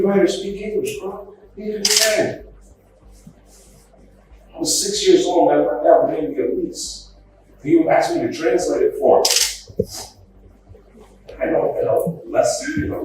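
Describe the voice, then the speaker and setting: A man from Palestine giving a heated, rambling statement in court, full of slang, his voice jumping up in pitch. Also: fairly even intonation, unhurried, long pauses, noisy background. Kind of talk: emotional victim impact statement in court. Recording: echoey courtroom from a distance, music underneath.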